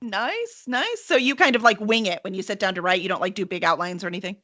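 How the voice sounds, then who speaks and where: A woman's voice: medium pitch, lively intonation, fast talker, pushed and bright, no echo. A woman hosting an interview podcast in an upbeat, casual and playful way, with some mock-serious joking. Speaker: podcast host and editorial director. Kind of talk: upbeat scripted intro and casual interview chat.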